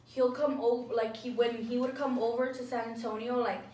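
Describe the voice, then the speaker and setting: A woman's voice: on the high side, level tone, fairly quick, warm tone, distant mic. A young woman vlogger telling a story casually, rambling and saying "like" constantly. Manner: rambling, fills speech with "like". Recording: echoey room, voice off-mic.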